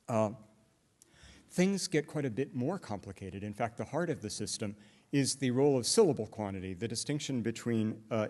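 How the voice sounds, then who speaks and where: A middle-aged man lecturing at a conference, explaining step by step and often pausing on "uh" fillers and restarts. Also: medium pitch, a little mellow. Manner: hesitant, many "uh" fillers and restarts; explanatory, walks through examples step by step. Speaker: linguistics lecturer. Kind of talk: academic conference talk.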